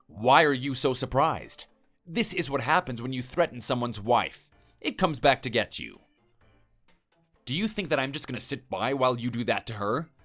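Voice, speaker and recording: A man's voice: mid-range voice, expressive, normal speed, fairly bright tone, soft background music, close to an everyday voice. A man playing a stern, threatening husband who lectures and intimidates his neighbor. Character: stern, menacing husband defending his wife.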